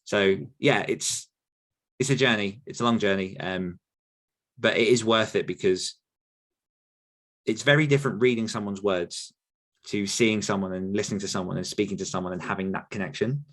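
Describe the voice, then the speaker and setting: A man's voice: on the low side, fairly even intonation, moderate pace, fairly bright tone. A man, answering listener questions on a podcast in a rambling, self-correcting way. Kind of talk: casual Q&A chat.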